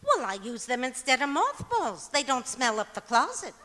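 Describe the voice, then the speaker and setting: Daffy sitcom wife, woman actor: animated swooping delivery, earnest and innocent, old-time radio style in an echoey hall. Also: high voice, moderate pace, full character voice. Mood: innocently daffy. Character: scatterbrained chirpy wife of a radio comedian. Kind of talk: old-time radio comedy acting on stage. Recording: echoey auditorium stage recording.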